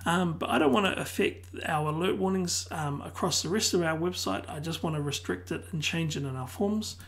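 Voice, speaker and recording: A tutor losing their voice who keeps clearing their throat, calmly explaining a software tutorial one step at a time. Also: medium pitch, brisk. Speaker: web design tutor. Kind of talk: screen-recorded software tutorial.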